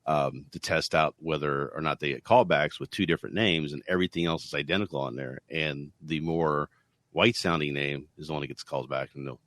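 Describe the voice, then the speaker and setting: A man who explains his points in a measured way during a casual podcast discussion. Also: extremely low pitch, fairly even intonation, a bit bright, no echo.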